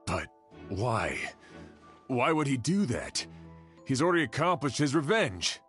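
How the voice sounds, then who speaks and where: A man's voice: mid-range voice, big pitch swings, unhurried, fairly bright tone, faint music behind. An actor playing a stern, probing male investigator in an anime-style game. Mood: baffled.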